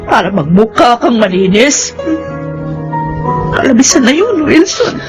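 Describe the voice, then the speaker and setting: A woman's voice: a little high, very animated, unhurried. A woman with a Filipino accent playing a wronged, anguished and defiant wife in a melodramatic radio drama. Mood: sorrowful, resolute. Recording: loud dramatic music behind the voice.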